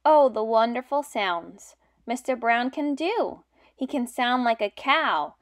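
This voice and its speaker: A young woman teaching and reading aloud to small children in simple, deliberate phrases. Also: on the high side, animated. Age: young adult. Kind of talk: children's read-aloud lesson.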